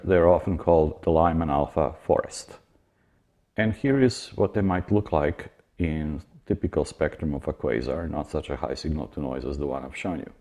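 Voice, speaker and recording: A man giving an explanatory science lecture in a measured way, with informal asides. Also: very deep voice, a little mellow.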